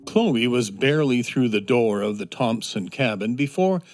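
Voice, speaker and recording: A man narrating a children's story from a script in a measured, expressive storytelling manner. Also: mid-range voice. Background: soft music.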